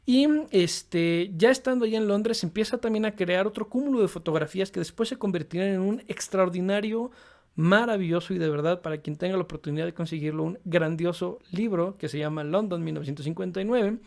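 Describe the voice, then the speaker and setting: Man, fairly high voice, relaxed unscripted explainer, many hesitations. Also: brisk, creaky. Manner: relaxed, frequent fillers "eh" and "este". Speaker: photography channel host.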